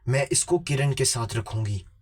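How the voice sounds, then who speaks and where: A man's voice: medium pitch, animated, moderate pace, darkish tone, breathy edge. A man voicing a creepy, crazed old female shopkeeper in a horror story, possessive and menacing. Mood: scolding, possessive. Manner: scolding, repetitive, menacing. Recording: music behind the voice.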